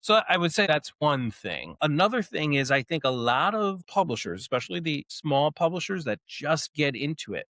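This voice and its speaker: Relaxed man, dry close sound. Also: fairly high pitch, normal speed, hardly any pauses, no echo. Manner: relaxed, explanatory, frequent "like" fillers. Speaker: game publisher, podcast guest. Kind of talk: casual interview conversation.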